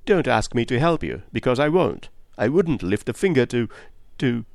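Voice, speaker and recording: A man's voice: medium pitch, sing-song, moderate pace, gravelly, dry recording, studio quality, voice pushed up. A man voicing a blunt, stubborn middle-aged woman in an audiobook, brusque and vehement in manner. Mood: agitated, stubborn. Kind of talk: audiobook character voice.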